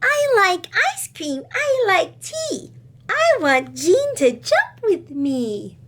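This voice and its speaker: Woman as a high singsong cheerful schoolgirl. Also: very animated, takes it slowly, noisy background, full character voice. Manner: singsong chanting. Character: cheerful bold little schoolgirl singing a jump-rope rhyme.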